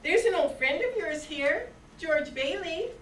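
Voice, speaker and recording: A woman's voice: very high-pitched, lively intonation, measured pace, fairly bright tone, full character voice. An older woman playing a sweet, pleading young sweetheart in an amateur radio play. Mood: coy, friendly. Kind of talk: amateur old-time radio play acting. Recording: echoey distant room, faint noise.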